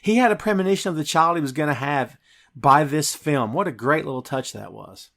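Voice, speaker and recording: A man hosting a podcast, chatting casually about movies in an animated, emphatic, slangy way. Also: on the high side, brisk, creaky. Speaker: podcast host. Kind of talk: casual movie review chat.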